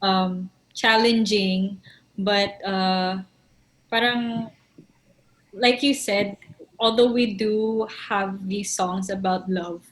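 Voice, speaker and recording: A woman talks through her songwriting in a relaxed interview, slipping between English and Tagalog and pausing to find her words. Her voice sits at an ordinary middle pitch, and she laughs easily as she goes. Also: poor audio. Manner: mixes English with Tagalog, thinks aloud, laughs often. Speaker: band member and songwriter. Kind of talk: casual interview answers.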